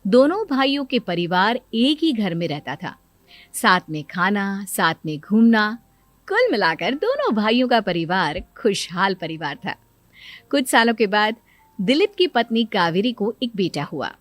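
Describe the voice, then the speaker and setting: A woman's voice: mid-range voice, sing-song, measured pace, dry recording. A woman telling a scripted story as narrator.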